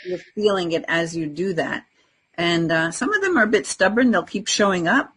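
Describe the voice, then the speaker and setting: A woman's voice: lowish voice, lively intonation, normal speed, a bit bright, slightly rough. A woman co-hosting a podcast, explaining things conversationally and encouragingly, often checking for agreement. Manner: encouraging, often seeks agreement with tag questions. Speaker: podcast co-host, spiritual energy coach.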